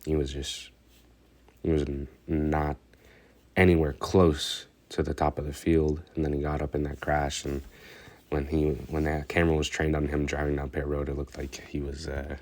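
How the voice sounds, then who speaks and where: A man's voice: extremely low pitch, flat delivery, moderate pace, darkish tone. A man giving a casual, rambling recap of a stock car race, stuffed up and hesitant, full of fillers and restarts. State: congested, stuffed up. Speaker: racing commentator.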